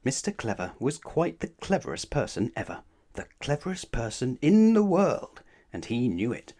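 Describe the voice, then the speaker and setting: Very animated male children's storyteller. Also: medium pitch, normal speed. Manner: expressive, addresses listeners with questions. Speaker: storybook narrator. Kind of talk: children's read-aloud narration.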